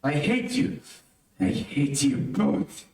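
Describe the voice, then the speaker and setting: Man playing slow, bitter dying villain. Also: on the high side, sing-song, gravelly, darkish tone, full character voice. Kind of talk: old-time radio melodrama acting. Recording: very echoey room, distant mic.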